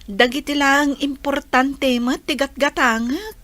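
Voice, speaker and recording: A woman with an Ilocano accent acting a gentle village family role in a radio drama. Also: high voice, measured pace, no room sound. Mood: grateful.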